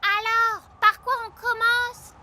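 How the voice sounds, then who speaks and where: An actress voicing an eager, wide-eyed little child in a children's fairy-and-elf cartoon. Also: female voice, very high-pitched, flat delivery, slow pace, pushed and bright, a little hiss or hum, heavily acted. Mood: earnest. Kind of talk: animated children's series voice acting, French dub.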